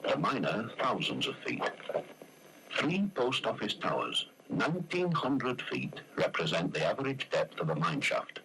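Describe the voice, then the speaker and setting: A man with a low voice narrates a 1970s training film. His delivery is measured and explanatory, with lively rises and falls in intonation. Heavy noise from the old soundtrack sits over the whole recording.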